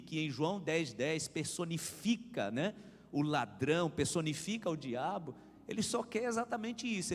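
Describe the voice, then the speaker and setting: A man preaching a sermon as pastor, driving his points home with swelling emphasis and repeated refrains. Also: a little high. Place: church hall. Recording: reverberant church hall.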